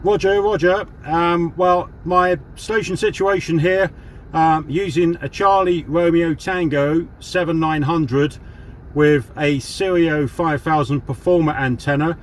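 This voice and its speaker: A man's voice: fairly high pitch, steady tone, a little slow, slight background noise. Chatty English radio hobbyist man. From southern England. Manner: chatty, rambling, radio-operator jargon. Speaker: CB radio hobbyist and video host. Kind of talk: casual radio chat and how-to demo.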